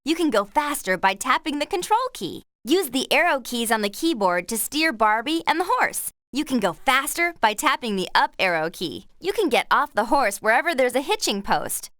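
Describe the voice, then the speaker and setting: A woman's voice: sits high, big pitch swings, normal speed, hardly any pauses, pushed and bright, no echo, clean studio sound, full character voice. A woman playing an upbeat young ranch friend who gives friendly, instructive guidance in a video game. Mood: helpful, upbeat. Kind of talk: video game tutorial acting.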